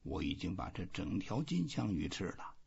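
A man voicing a stoic, reflective old fisherman in a storybook, husky and breathy, musing slowly to himself. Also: low voice, sing-song, darkish tone, lo-fi sound, heavily acted. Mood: quiet musing.

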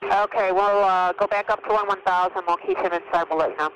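A woman flying an airliner, giving quick, matter-of-fact readbacks over the radio. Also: mid-range voice, steady tone, a bit bright, muffled recording. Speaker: airline pilot. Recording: noisy radio transmission.

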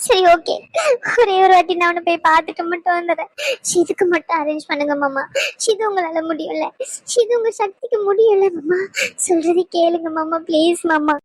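Voiced by a woman, a heartbroken young woman pleads and refuses through tears in a radio-play scene. Her voice sits very high and sounds thin and tearful. The recording is dry, close and has no room echo. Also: fairly even intonation, moderate pace. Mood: pleading. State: crying. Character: distraught young woman begging her uncle.

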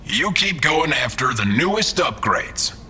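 An actor playing a smug, mocking mastermind employer in a scripted audio drama, with a theatrical, falsely polite, condescending manner. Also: male voice, medium pitch, sing-song, measured pace, bright, forceful tone, husky, heavily acted. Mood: taunting.

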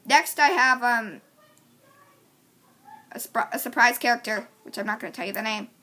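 A woman's voice: on the high side, normal speed, bright, forceful tone. A woman chatting casually as she introduces her amateur voice audition lines. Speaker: amateur voice actor.

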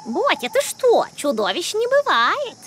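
A woman's voice: very high voice, wildly swooping pitch, a little slow, a bit bright, slight background noise, exaggerated voice. A woman voicing a spirited, confident little girl bard in a cartoon, dramatic and bossy. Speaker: cartoon character. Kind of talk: animated cartoon acting. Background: music.

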